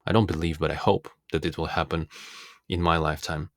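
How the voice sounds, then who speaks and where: A man from Russia, a native Russian speaker, giving a reflective, philosophical self-help monologue in an earnest, deliberate manner as a coach. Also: very deep voice, little pitch movement, dry recording, studio quality.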